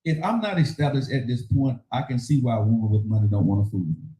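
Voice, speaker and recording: A man's voice: mid-range voice, quick pace, warm tone, reverberant, low-quality recording. A man, hosting a heated casual debate and pressing his point over interruptions. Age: 50s. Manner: insistent, talks over others, repeats himself. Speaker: show host.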